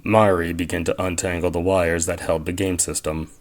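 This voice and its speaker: A man's voice: low-pitched, little pitch movement, normal speed, heavy background noise. A man reading scripted story narration for an audio drama.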